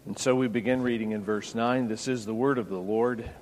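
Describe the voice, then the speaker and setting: A man's voice: medium pitch, steady tone, a little fast, a little mellow, in a large hall, a little hiss or hum, low-quality recording. A man preaching a sermon, explaining scripture and raising his voice when quoting. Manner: expository preaching, raises voice for quoted lines. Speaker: pastor.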